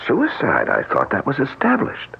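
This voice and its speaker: An actor playing a genial, reassuring husband in a 1970s radio drama, easygoing in manner. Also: male voice, medium pitch, moderate pace, vocal fry. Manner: easygoing, with a very lively, swooping delivery. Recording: narrow-band old radio broadcast, faint hiss.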